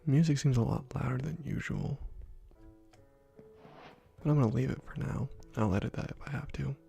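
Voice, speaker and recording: Soft-spoken young man, gravelly whisper. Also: mid-range voice, faint music behind. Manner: soft, slow, often whispered, with frequent ums. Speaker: gaming ASMR channel host. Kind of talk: soft-spoken ASMR gameplay commentary.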